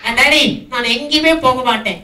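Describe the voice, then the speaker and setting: A mischievous little boy puppet, voiced by a woman, pleading and whining to his father in a raised, childish voice. It is a live children's puppet show in a prayer house hall. The voice sounds distant and echoey, with steady noise behind it. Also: high voice, moderate pace. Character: mischievous young boy Tinku, sneaky but eager.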